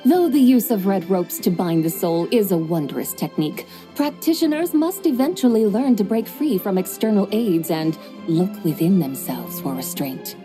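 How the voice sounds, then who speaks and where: A woman's voice: medium pitch, lively intonation, normal speed, hardly any pauses, music in the background, close to an everyday voice. A woman voicing a drowsy, formal mystic woman with grand, old-fashioned manners in a game cutscene. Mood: stately.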